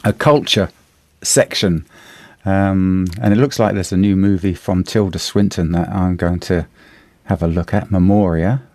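A middle-aged man with a New Zealand accent, a slightly hoarse radio host chatting through the day's newspaper, halting with many fillers and restarts. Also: deep voice, darkish tone, no room sound. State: a bit hoarse. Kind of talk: casual radio chat reviewing the newspaper.

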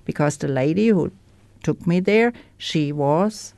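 A woman with a German accent, a native German speaker, candidly sharing her recovery story as a guest in an interview, pausing to search for words. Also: low-pitched, vocal fry, dark tone, dry recording. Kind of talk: personal testimony in an interview.

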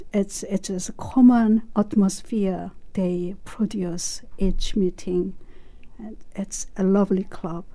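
A woman in her sixties, a club member talking about her club in an interview, speaking haltingly with many pauses. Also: mid-range voice, warm tone. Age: 60s. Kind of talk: casual interview chat.

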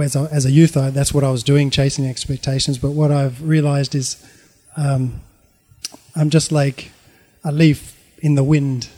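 A middle-aged man with an Australian accent tells his life story informally, in a halting, reflective way. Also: mid-range voice, mellow, breathy edge.

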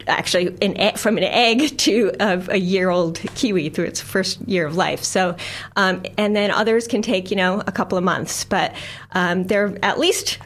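A woman's voice: medium pitch, fairly bright tone. A middle-aged American woman from the United States, a science filmmaker talking as a radio guest, enthusiastic with frequent laughs and many fillers. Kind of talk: radio interview.

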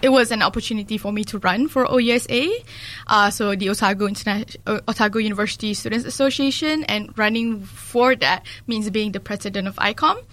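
A woman's voice: mid-range voice, fairly quick, fairly bright tone. A young woman from Malaysia who grew up in New Zealand, chatting casually as an interview guest and often searching for words. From Malaysia, in New Zealand since age ten. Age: young adult. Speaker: podcast guest, student association president.

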